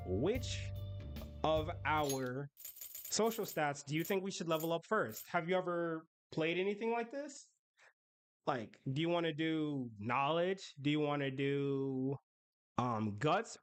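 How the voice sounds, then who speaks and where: A man's voice: a little high, animated, measured pace, faint music behind. A man streaming a game, chatting casually with his viewers in a rambling way. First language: English. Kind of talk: casual live-stream chat while gaming.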